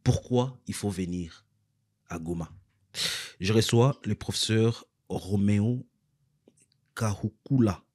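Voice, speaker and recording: A male host with a low, husky voice leads a TV interview. He speaks formally, stumbling and restarting as he hunts for words. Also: takes it slowly, pauses often, dark tone. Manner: formal, repeats himself while searching for words. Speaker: talk show host.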